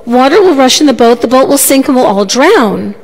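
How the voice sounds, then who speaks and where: A woman's voice: high-pitched, lively intonation, brisk. A woman storyteller voicing worried boat guests in a hesitant, pleading manner. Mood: frightened, urgent. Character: anxious crowd of boat guests pleading with fisherman. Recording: long hall reverb.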